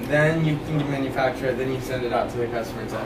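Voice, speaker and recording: A woman interviewer with a very low voice who talks quickly and gives short prompts to keep her guest going. She is recorded from a distance in an echoey room with noise behind her. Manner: brisk, short replies to encourage the guest. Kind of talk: casual interview.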